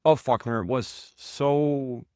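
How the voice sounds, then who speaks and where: A man speaking as an interview guest in a casual conversation, reflective and halting, often restarting his sentences. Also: mid-range voice, mellow, smooth, no room sound, clean studio sound. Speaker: guest, founder of a literature platform.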